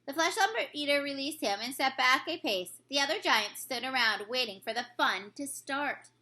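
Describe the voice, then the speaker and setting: Woman, storybook narration, teacher reading aloud, high pitch, bright voice. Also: expressive, moderate pace.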